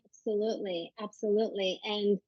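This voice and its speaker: A woman from Turkey, a native Turkish speaker, telling her personal story as an interview guest in a measured, thoughtful way. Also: mid-range voice, steady tone, no room sound. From Turkey, moved to the US at fourteen. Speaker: podcast guest, psychologist. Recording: slightly dull lo-fi audio.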